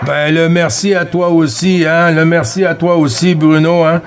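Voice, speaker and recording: A man's voice: fairly high pitch, level tone, moderate pace. A man with a Quebec French accent chatting casually over two-way radio as a CB operator, friendly and repeating himself. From Quebec, Canada. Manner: friendly, repeats himself, tags phrases with "hein".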